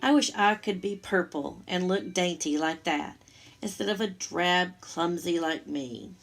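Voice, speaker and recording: A woman's voice: on the low side, unhurried, vocal fry, faint music behind. A woman voicing a small, polite, wistful male caterpillar in a children's storybook, full of simple admiring exclamations.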